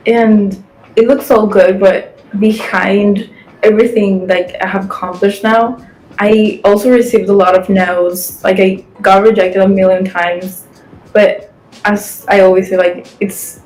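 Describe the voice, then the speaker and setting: A woman's voice: mid-range voice, flat delivery, unhurried, a little mellow, soft background music, rough recording. An eighteen-year-old woman from Guatemala, a podcast guest chatting casually and filling her speech with "like". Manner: chatty, frequent "like" fillers. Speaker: guest, fashion influencer and model.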